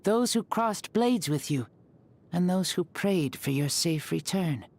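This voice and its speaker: A man's voice: high-pitched, animated, a little slow, full character voice. A man playing a gracious, good-humoured ancient hero in a video game, with an eloquent, theatrical delivery. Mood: solemn. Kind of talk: video game character acting.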